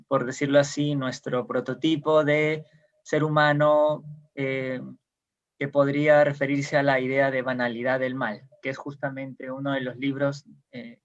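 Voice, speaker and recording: A man's voice: mid-range voice, a bit bright, highs cut off. A man from Peru, a guest explaining ideas in casual conversation, hesitant with many fillers and restarts, heard over a video call.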